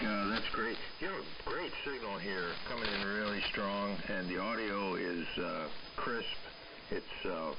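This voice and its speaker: Deep-voiced man over very noisy AM radio. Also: gravelly, muffled recording. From United States. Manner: halting, thinking aloud. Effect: AM shortwave radio transmission. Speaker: amateur radio operator. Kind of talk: casual ham radio chat. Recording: very noisy AM radio, distant sound.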